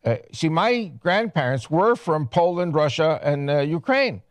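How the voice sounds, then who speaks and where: A man's voice: fairly high pitch, big pitch swings, no room sound. Older man, dry studio sound. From United States. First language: Spanish. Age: 70s. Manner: rambling, halting with many fillers. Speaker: guest. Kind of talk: interview.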